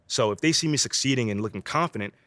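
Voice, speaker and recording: A man playing a confident young pro basketball player in a video game, relaxed and slangy. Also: medium pitch, quick pace, dry recording, lightly acted. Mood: casual, self-assured. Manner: relaxed, slangy, self-assured. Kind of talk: casual game cutscene and press interview dialogue.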